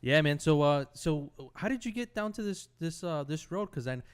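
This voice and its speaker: A man's voice: on the high side, animated, moderate pace, clean tone. A man co-hosting a podcast, teasing and joking in casual banter. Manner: teasing, jokey. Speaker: podcast co-host.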